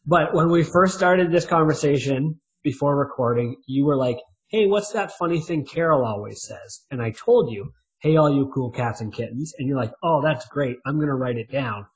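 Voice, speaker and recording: A man with a middle-pitched voice co-hosts a casual podcast chat. He jokes around and pokes fun at himself, his delivery animated. The sound is a little dull and lo-fi. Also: normal speed, few pauses. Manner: joking, animated, self-mocking. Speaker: podcast co-host.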